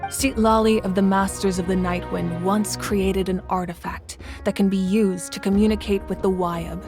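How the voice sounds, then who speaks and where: An actress playing a patient fire goddess ruler in a video game, explaining things in a measured, gentle way. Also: female voice, mid-range voice, fairly even intonation, over music, natural voice. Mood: calm. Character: female fire goddess ruler, calm and protective. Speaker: Pyro Archon.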